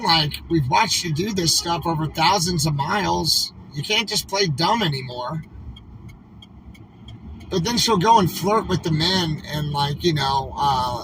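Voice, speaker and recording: A man livestreaming from a moving vehicle in casual talk, rambling and emphatic with lots of "like" fillers. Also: on the high side. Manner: rambling, emphatic, heavy use of "like". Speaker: convoy livestreamer. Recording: distant mic, clear road noise.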